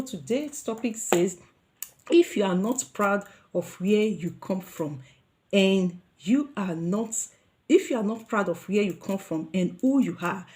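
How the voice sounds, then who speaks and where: A woman's voice: mid-range voice, a little mellow. A middle-aged Nigerian woman giving an earnest motivational talk to her viewers, repeating herself with many fillers and restarts. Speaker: vlogger.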